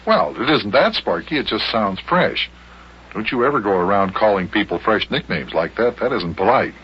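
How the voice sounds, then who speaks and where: A man with an American accent playing a patient, dryly amused grown-up who gently scolds a boy in a children's radio serial. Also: fairly low pitch, expressive, moderate pace, lightly acted. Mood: mildly scolding. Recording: hissy, dull old radio recording.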